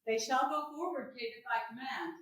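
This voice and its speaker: Forceful, high-voiced swaggering bridegroom played by a woman. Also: normal speed. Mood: domineering. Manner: forceful, declamatory. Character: swaggering, domineering bridegroom, a brash man. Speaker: actor in a Shakespeare camp staged reading. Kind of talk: theatrical staged reading of Shakespearean comedy. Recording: very echoey room from far off.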